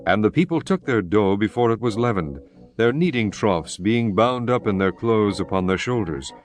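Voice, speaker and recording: A man narrating scripture from a script in a solemn, measured, formal way. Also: lowish voice, expressive. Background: music.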